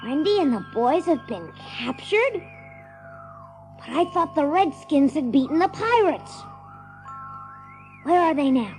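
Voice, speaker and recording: A cocky young boy hero, high-pitched and very animated. He is earnest and stubborn, taking his time over his words, with music underneath in a storybook drama. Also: unhurried, long pauses. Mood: alarmed, eager. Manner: earnest, headstrong, boyish. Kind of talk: audiobook drama acting.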